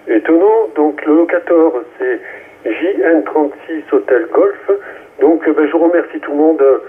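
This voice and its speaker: Male radio amateur, mid-pitched, casual, heard over a narrow phone-like relay. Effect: two-way radio.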